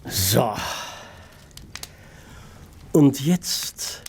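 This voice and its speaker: An actor playing a coldly menacing killer in a radio drama, mock-polite, soothing and sinister. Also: male voice, medium pitch, sing-song, a little mellow, husky, heavily acted. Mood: sinister, coaxing. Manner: very slow, coaxing, sinister.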